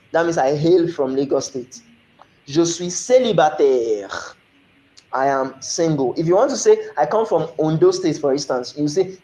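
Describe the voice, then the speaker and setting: A man's voice: fairly high pitch, warm tone, reverberant, poor audio. A man from Nigeria with a Nigerian accent, teaching a recorded French lesson, explaining step by step and often checking "you understand?".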